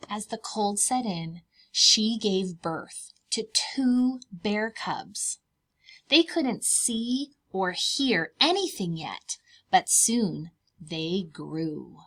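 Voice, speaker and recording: Preschool teacher lady reading a picture book, super slow and expressive, talking right to little kids. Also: medium pitch. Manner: deliberate, child-directed. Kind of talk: expressive children's storybook read-aloud.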